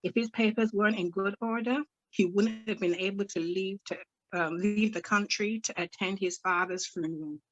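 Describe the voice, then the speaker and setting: A woman voicing a hot-tempered older Barbadian mother with a Barbadian accent, firing rapid, exasperated questions full of old-fashioned sayings. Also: medium pitch, fairly even intonation, a bit bright, muffled recording, close to an everyday voice.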